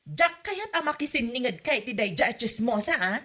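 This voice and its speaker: A woman acting as a nagging middle-aged mother with a bright, piercing, fairly high voice. She has an Ilocano Filipino accent and scolds and questions like a suspicious parent in a radio comedy drama. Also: sing-song, brisk. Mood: scolding.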